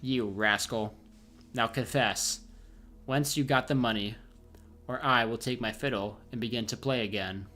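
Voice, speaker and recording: A man's voice: mid-range voice, measured pace, pushed and bright, soft background music. A man reading the lines of a bold male fiddler in a fairy tale, in a plain read-aloud voice. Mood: threatening.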